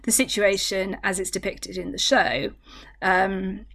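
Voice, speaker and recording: A woman co-hosting a podcast, speaking in a thoughtful, measured way with frequent hesitations. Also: mid-range voice, level tone, a bit bright. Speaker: podcast co-host. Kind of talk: casual podcast discussion.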